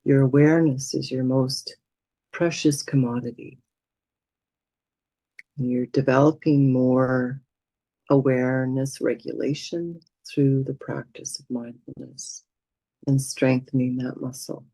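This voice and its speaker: A woman leading a guided meditation as a teacher, speaking in a gentle, soothing manner with unhurried pauses. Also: a bass voice, fairly even intonation, no room sound, poor audio.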